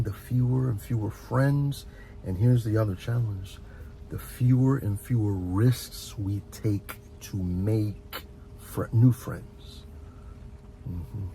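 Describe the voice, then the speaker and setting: A middle-aged man giving an informal, heartfelt advice monologue as a host, speaking earnestly and reflectively, often halting and repeating words. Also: fairly low pitch, mellow, low-quality recording. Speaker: host of a relaxation and advice channel.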